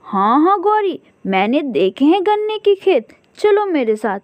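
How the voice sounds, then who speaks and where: A woman's voice: sits high, big pitch swings, a little slow, a little mellow, no room sound. A woman voicing a lazy, scheming male crow husband in a cartoon, eager, coaxing and stubbornly optimistic.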